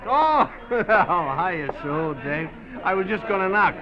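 An actor playing a jovial, sociable neighbour in an old-time radio drama. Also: male voice, high-pitched, lively intonation, slow. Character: jovial party-going male neighbour, laughing and sociable. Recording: muffled noisy old radio recording.

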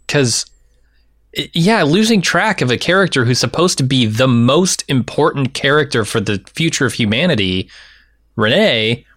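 A man's voice: mid-range voice, fairly bright tone, no echo. Podcast co-host, male, mid-range voice, conversational critique, very dry sound. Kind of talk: casual critical discussion.

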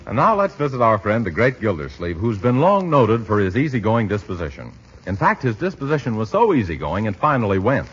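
Animated male 1940s radio announcer. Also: on the low side, fairly quick, music in the background. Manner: polished, emphatic salesmanship. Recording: muffled 1940s radio recording, husky sound.

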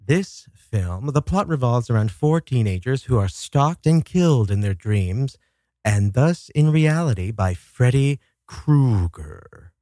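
A man's voice: mid-range voice, animated, slow, dark tone, no room sound, clean studio sound. A man hosting a casual solo podcast, telling stories dramatically with chatty asides and some swearing. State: coming down with a cold. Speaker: podcast host. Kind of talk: casual solo talk show about horror films.

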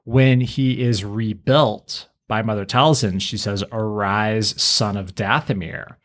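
A man's voice: fairly low pitch, steady tone, slow, fairly bright tone. A man hosting a podcast, talking through a fan analysis of a fictional story. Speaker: podcast host.

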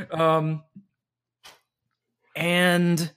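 This is an enthusiastic man who teaches voice and acts. He talks in bursts of lively energy, often stops to rephrase himself, and sounds easy and conversational throughout. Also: on the high side, very slow, smooth. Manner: energetic and animated, with frequent restarts. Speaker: voice actor and voice teacher. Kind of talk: casual interview chat.

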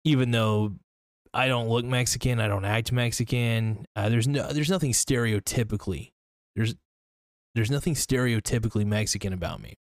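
A man's voice: mid-range voice, moderate pace, no echo, clean studio sound. A young man hosting a casual solo podcast, rambling through his thoughts with lots of fillers and restarts. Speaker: podcast host.

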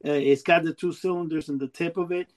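A middle-aged man from Cuba chatting casually and excitably, often repeating his words. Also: a little high, fairly quick. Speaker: toy and memorabilia collector. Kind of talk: casual chat.

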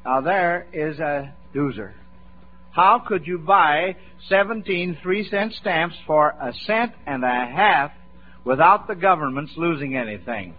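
A man's voice: on the high side, animated, unhurried. An American man hosting an old-time radio quiz, folksy and encouraging, with approving phrases he tends to repeat. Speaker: quiz show host. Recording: muffled vintage radio broadcast recording.

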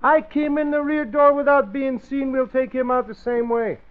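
Radio gangster boss, male: high voice, cocky, brutal, slangy, bossy. Muffled vintage recording. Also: steady tone, normal speed. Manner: tough slangy talk, bossy. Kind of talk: old-time radio drama acting.

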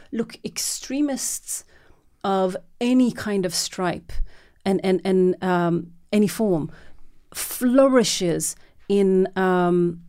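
A woman's voice: medium pitch, dark tone. A woman from Norway, a documentary filmmaker, giving long, earnest interview answers full of repeats and restarts.

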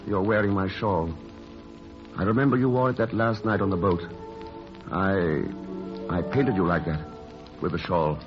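An actor playing a wistful romantic painter in a classic radio drama, hesitant and bantering with an old love. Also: male voice, low-pitched, moderate pace, long pauses, close to an everyday voice. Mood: wistful, hurt. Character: wounded, wry romantic painter visiting his lost love. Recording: muffled old radio broadcast, music underneath.

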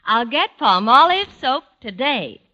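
A woman's voice: high-pitched, big pitch swings, unhurried, sharp tone, heavily acted. A woman playing a cheerful jingle reader, reciting singsong verse for a 1940s radio soap commercial. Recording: dull, band-limited 1940s radio recording.

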